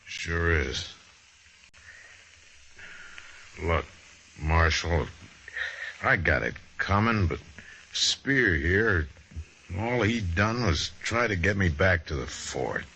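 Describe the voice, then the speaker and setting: An actor playing a resigned but loyal cavalry soldier in an old-time radio western, with rustic, folksy speech. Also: male voice, low-pitched, animated, takes it slowly, sharp tone, a little hiss or hum, full character voice. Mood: pleading. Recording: muffled vintage radio broadcast recording.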